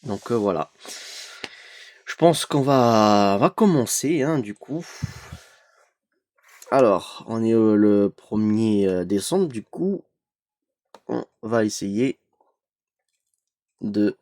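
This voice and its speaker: A man's voice: lowish voice, expressive, slow, pauses often, darkish tone, no room sound. A young man with a cold, chatting hesitantly with many fillers while opening an advent calendar for his collection vlog. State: has a cold. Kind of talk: casual unboxing vlog.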